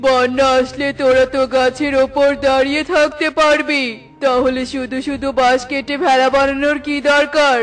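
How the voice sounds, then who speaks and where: A woman's voice: high-pitched, flat delivery, moderate pace, soft background music, close to an everyday voice. A woman voicing a stingy, dismissive bird chief of a cartoon forest, grumbling. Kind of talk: Bengali cartoon voice acting.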